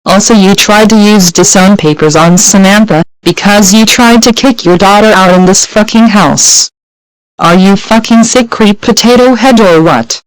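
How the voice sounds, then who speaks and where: A woman's voice: mid-range voice, moderate pace, clean tone, no echo, lightly acted, low-quality recording. An angry female clone, voiced by a woman, fires off cursing accusations in a homemade courtroom drama. The recording is dry and close. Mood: furious. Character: furious female clone witness.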